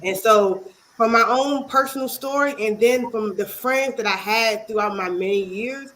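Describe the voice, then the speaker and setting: A woman's voice: a little high, a bit bright, rough recording. Congested woman guest from Maryland. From Maryland, USA. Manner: earnest, halting, many pauses. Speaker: author and youth advocate guest. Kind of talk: interview talk.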